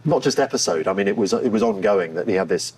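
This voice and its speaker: A man speaking as an actor in a candid interview, thoughtful and often pausing to find his words. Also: fairly low pitch, lively intonation, darkish tone. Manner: thoughtful and halting, searching for words. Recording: clear background room noise.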